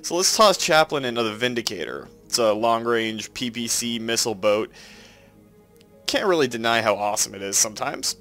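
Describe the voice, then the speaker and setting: A man's voice: mid-range voice, lively intonation, moderate pace, fairly bright tone, soft background music. A man with a Canadian accent and a head cold that makes him sound nasal, chatting casually as he plays and explains his moves. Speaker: let's play host. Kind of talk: casual gameplay commentary.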